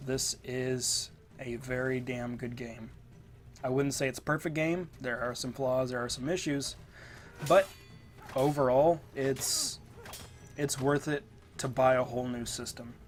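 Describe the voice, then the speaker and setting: A man's voice: medium pitch, fairly even intonation, unhurried. A stuffed-up man casually rambling through a voice-over game review, with many ums and restarts. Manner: rambling, lots of fillers. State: stuffed up, congested. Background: game footage audio and music.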